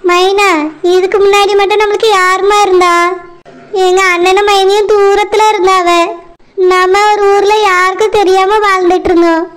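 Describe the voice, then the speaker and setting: Woman doing a soft-spoken mom comforting her kid, very high voice, even gentle advice. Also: normal speed, noisy background. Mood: consoling. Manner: soothing, steady advice. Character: patient consoling mother of a grown daughter.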